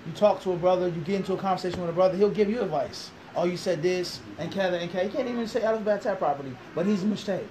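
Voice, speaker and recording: Guy in a classroom preaching hard, quick talker, throws questions back, faint room hiss. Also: high voice, warm tone, low-quality recording. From Philadelphia. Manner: emphatic, rhetorical questions, gestures a lot. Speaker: interviewee, Islamic teacher. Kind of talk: animated interview answer. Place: small classroom indoors.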